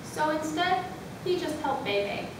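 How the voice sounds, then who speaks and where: A woman's voice: expressive, takes it slowly. A woman narrating a scripted story in an amateur readers' theatre performance. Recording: distant, very echoey room, noisy with rumble.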